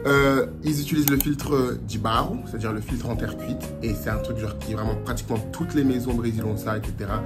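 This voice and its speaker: A young man from France giving casual travel advice in a lively vlog, dropping Portuguese phrases as he talks. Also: mid-range voice, fast talker, few pauses. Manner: chatty and animated, slips into Portuguese phrases. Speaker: vlogger. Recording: music playing under the voice.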